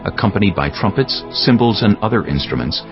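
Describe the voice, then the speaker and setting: A man narrating scripture from a script in a measured, formal manner. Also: lowish voice, expressive. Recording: slightly dull audio with music bed.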